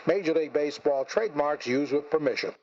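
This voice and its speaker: A man's voice: fairly high pitch, fast, a little mellow, muffled recording, slight background noise. A man reading a scripted sponsor ad for radio. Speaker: radio ad announcer.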